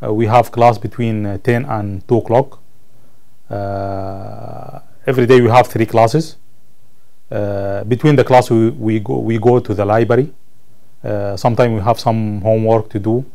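A man in his thirties from Sudan, a native Arabic speaker with a Sudanese Arabic accent, answering interview questions as a student in halting English, searching for words. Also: on the low side, steady tone, vocal fry, mellow. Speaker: college ESL student being interviewed. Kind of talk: interview answers about own life. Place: indoor room, seated on camera.

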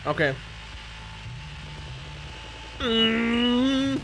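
An excitable gamer chatting casually while playing, given to shouting and squealing as co-host of a gaming video. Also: mid-range voice, very slow, background noise.

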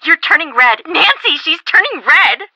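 A woman's voice: very high voice, a little slow, dry recording, exaggerated voice. An actress playing a bubbly, chatty, teasing young American woman, a shopping-loving best friend in a video game. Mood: exasperated, teasing. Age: young adult. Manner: expressive, teasing, casual.

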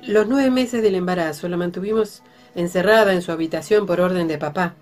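A woman's voice: fairly low pitch, brisk, a little mellow, faint music behind, natural voice. An actress playing a composed, discreet lady of a ranch-owning family in a radio drama, with a Rioplatense accent. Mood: persuasive. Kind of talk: radio soap opera acting.

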